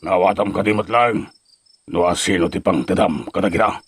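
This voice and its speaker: A man playing a gruff foreman in a drug gang in an Ilocano audio crime drama. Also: sits low, normal speed, dry recording. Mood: stern, bossy. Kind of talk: Ilocano radio-style crime drama acting.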